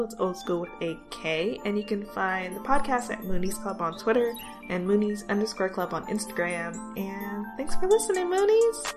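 Upbeat woman hosting a podcast, faint music. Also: medium pitch. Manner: upbeat, enthusiastic, laughs often. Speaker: podcast host. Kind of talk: casual podcast interview.

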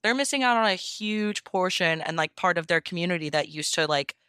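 Woman, bright forward voice, slightly low, earnest podcast guest, casual explaining. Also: lively intonation, brisk, smooth, no room sound, clean studio sound. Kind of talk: casual chat.

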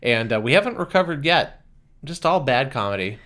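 A man's voice: mid-range voice, sing-song, a little fast, a bit bright. A man co-hosting a podcast in casual chat, with a dry, hesitant delivery that trails off into short murmurs. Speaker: podcast co-host. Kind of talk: casual chat reviewing a film.

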